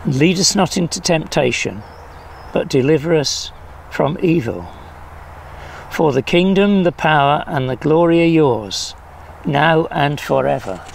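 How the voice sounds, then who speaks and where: An older man solemnly reading prayers at a church service in measured phrases, recorded outdoors. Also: on the high side, lively intonation, vocal fry. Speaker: prayer leader. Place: outdoors in a leafy field or garden. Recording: faint outdoor background noise.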